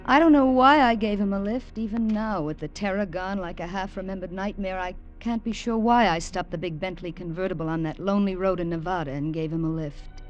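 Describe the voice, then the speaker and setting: A woman's voice: mid-range voice, fairly quick, muffled recording, over music, natural voice. An actress narrating and playing a young woman from New England who stammers breathlessly when tense, in an old radio drama. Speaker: narrator and lead character.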